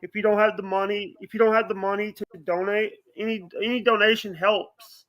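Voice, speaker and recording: A man chatting casually as a livestream guest, halting, often repeating phrases and starting over. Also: sits high, flat delivery, dry recording, dull audio. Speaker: podcast guest.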